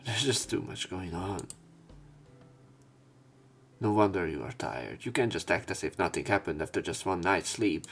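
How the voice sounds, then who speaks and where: A man reading visual novel text aloud as a let's play narrator, in a somewhat halting manner. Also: low-pitched, animated, a bit bright. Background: game music.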